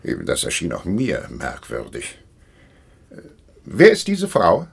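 An actor playing a dutiful, blunt medieval bishop's messenger in a German radio play, gruff, formal and insistent. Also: male voice, deep voice, wildly swooping pitch, slow, exaggerated voice. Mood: doubtful, insistent.

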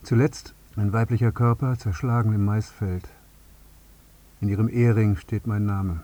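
An actor playing a numb, bereaved father and husband in a radio drama monologue, speaking in stark short sentences with a husky voice. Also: fairly low pitch, steady tone, moderate pace, dark tone, close to an everyday voice. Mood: stunned horror. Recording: slightly dull, muffled sound.

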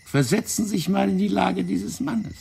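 An actor in a German radio play playing a fat, wily, self-righteous French intelligence officer, explaining things in a theatrical, condescending way. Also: male voice, high-pitched, moderate pace, creaky, a little mellow, slightly rough, full character voice. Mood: calculating, insistent. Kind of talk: German radio drama acting.

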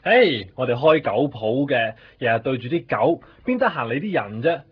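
An actor playing an evasive, defensive shopkeeper in a 1990s Hong Kong Cantonese radio drama. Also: male voice, medium pitch, very animated, moderate pace, a little hiss or hum, close to an everyday voice. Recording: dull lo-fi radio recording.

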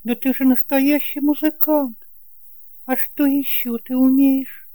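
A man's voice: very high voice, level tone, slow pace, warm tone, no room sound, pitched above the performer's own voice. A man voicing a playful, coquettish young wife in an audio drama, with a teasing, coaxing manner. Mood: delighted.

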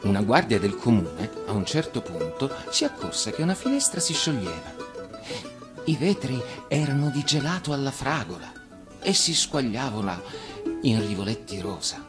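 A man reads a fairy tale in a medium-pitched, animated voice. His phrasing is theatrical and measured, with pauses, in the style of radio storytelling for children. Music plays clearly behind him. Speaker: actor reading a fairy tale.

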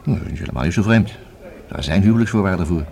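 An actor in a radio play as a methodical police inspector who reasons and questions in a formal, measured, deliberate way. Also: male voice, sits low, animated, mellow. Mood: pensive, speculating. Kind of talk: radio drama acting. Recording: hissy old radio play recording.

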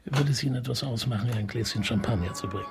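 A man's voice: mid-range voice, racing, vocal fry, music in the background, close to an everyday voice. A man playing a courteous, slightly flustered middle-aged police commissioner who loves opera, in a conversational radio crime comedy, polite, hesitant and wry. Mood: courteous, relaxed. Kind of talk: German radio play acting, conversational.

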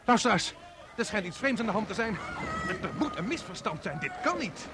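An actor playing an excitable live broadcast commentator in a radio play, urgent and exclamatory. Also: male voice, high-pitched, a little fast. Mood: alarmed, urgent. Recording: loud noise around an outdoor-sounding commentary.

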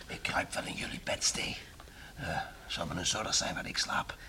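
An actor playing a sullen young farm son in a radio play, in a whispery voice. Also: male voice, normal speed, sharp tone. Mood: sullen, hushed. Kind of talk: Dutch radio play acting.

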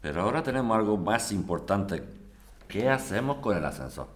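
A man with an accent from Spain playing a brisk, officious residents' president in a radio drama. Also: lowish voice, big pitch swings. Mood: managing. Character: businesslike male residents' president, impatient to stay on topic. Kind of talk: acted community radio drama.

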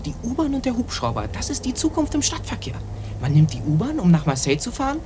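An actress playing a naive, grumbling small-town driver with earnest dreams of progress in a German radio play. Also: female voice, a little high, huge pitch swings, quick pace, background noise. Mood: dreamy, earnest.

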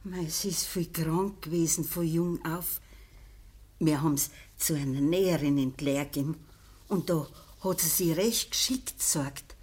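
A woman playing a dying, middle-aged peasant mother in a radio play, humble and sorrowful, speaking halting Bavarian dialect in a weak voice. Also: deep voice, darkish tone. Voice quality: husky, weak. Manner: halting, deferential.

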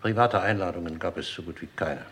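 An actor playing a gaunt, older English manservant in a radio crime drama, formal, precise and deferential. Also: male voice, deep voice, quick pace, fairly bright tone. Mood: dutiful. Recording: old radio recording, noisy background.

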